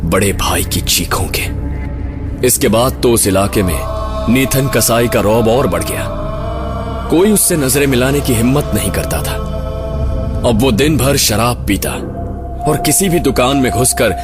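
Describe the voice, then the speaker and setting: A man's voice: mid-range voice, animated, a little slow, pauses often. A man narrating a horror story in a dramatic, scripted storytelling style. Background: loud background music.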